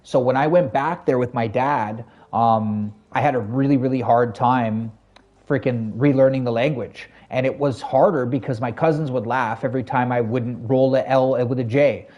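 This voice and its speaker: Casual Canadian male streamer, warm dark tone, chatty storyteller with fillers. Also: medium pitch, fairly even intonation, moderate pace, highs cut off. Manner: rambling, lots of fillers and self-corrections, talks to chat. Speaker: game streamer. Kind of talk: casual storytelling to a live chat.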